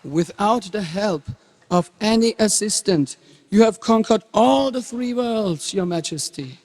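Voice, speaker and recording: A man as a high-voiced, slow courtly teacher. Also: lively intonation, darkish tone. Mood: obsequious. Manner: formal, deliberate. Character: dutiful, reverent male teacher flattering a king. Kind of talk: dramatic stage reading. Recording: reverberant hall.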